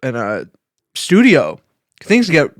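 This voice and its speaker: A young man co-hosting a podcast, chatting casually in an animated, joking way. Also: medium pitch, slow pace, no room sound, studio quality. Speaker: podcast co-host. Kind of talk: casual chat.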